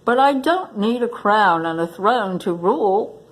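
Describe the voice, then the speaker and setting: A woman's voice: mid-range voice, expressive, a little slow, low-quality recording. A woman voicing a kind, humble lion king in a storybook, reassuring and earnest. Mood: touched, humble.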